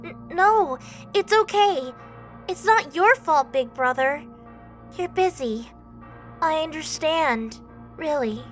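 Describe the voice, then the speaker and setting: Woman as a high, soft, innocent young god. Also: lively intonation. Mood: anxious, apologetic. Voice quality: soft, delicate, feminine-sounding. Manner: timid, halting, apologetic, earnest. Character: soft-voiced, youthful, innocent, lonely younger brother god. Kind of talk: audio drama acting. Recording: background music bed.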